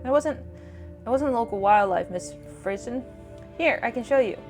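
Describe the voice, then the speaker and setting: A woman's voice: a little high, animated, normal speed, a little mellow, soft background music, lightly acted. Woman voicing an eager young small-town investigator. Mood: urgent, earnest.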